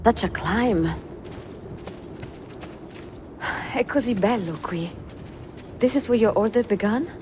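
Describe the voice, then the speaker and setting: An actress playing a cultured, curious, gently teasing traveler in a game cutscene. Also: female voice, mid-range voice, moderate pace, background noise, lightly acted. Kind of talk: dramatic game cutscene dialogue.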